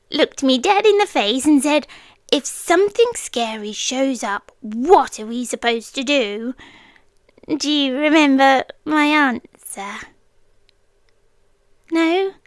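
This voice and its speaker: Woman acting a hushed, high-voiced, inhuman British girlfriend. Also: no room sound. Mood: confiding, fond. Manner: soft, hushed, often slipping into whispers. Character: inhuman yandere childhood friend, female forest being, tender, possessive. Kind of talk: intimate ASMR roleplay monologue.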